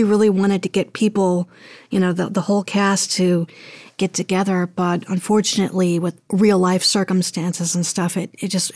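A woman's voice: medium pitch, a little mellow, no room sound, studio quality. A woman speaking casually as an interview guest, thinking aloud in a hesitant, rambling way. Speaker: guest, audio drama producer and voice actor.

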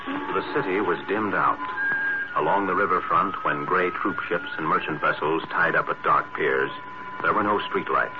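A man reading scripted 1940s radio announcements and narration in a formal, emphatic, deliberate manner. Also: sits low, over loud music. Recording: noisy muffled vintage radio recording.

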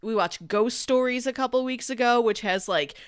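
A woman's voice: fairly high pitch, moderate pace, clean tone, no room sound, studio quality. A woman co-hosting a podcast, chatting casually about movies. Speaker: podcast co-host. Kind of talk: casual movie discussion chat.

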